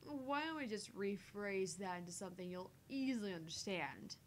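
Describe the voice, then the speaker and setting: A woman's voice: mid-range voice, expressive, moderate pace, a little hiss or hum, close to an everyday voice. A woman playing an irritated, sardonic professional heroine, reading visual novel lines with clipped politeness. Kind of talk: reading visual novel dialogue and inner thoughts.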